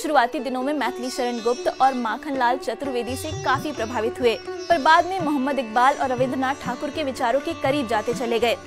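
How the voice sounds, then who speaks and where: A woman's voice: sits high, brisk, few pauses. A woman giving polished, scripted documentary narration in a storytelling manner. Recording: clear sitar music under narration.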